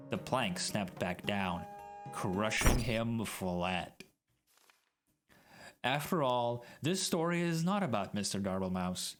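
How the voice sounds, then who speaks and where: Theatrical man reading aloud, swooping pitch. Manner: theatrical, mock-grand storyteller delivery. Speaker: streamer reading a story aloud. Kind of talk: dramatic storybook reading. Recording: background music mixed under voice.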